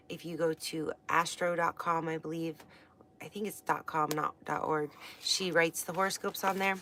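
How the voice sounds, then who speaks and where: A woman's voice: lowish voice, a bit bright, a little hiss or hum. A woman from the west coast of the United States, teaching astrology in a casual livestream, chatty and rambling with many fillers and restarts. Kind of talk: casual livestream book study.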